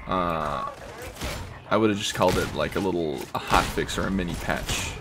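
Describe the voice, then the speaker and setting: A man's voice: moderate pace, music in the background. Rambling young man with a scratchy throat. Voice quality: deep, fairly monotone. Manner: rambling, many fillers, swears casually. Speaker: gamer commenting while playing. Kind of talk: casual gameplay commentary.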